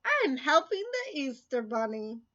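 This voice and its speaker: A woman's voice: sits high, very animated, measured pace, fairly bright tone, lo-fi sound, full character voice. A woman voicing an eager, friendly cat boy from a picture book. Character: cheerful cat boy helping at Easter.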